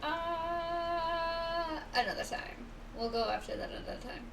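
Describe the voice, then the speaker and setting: A woman's voice: extremely high pitch, expressive, measured pace, distant mic, noisy background. A woman live-streaming a video game, chatting casually and reacting to herself as she plays. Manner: reactive, talking to herself while playing. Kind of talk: casual playthrough chat. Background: video game music.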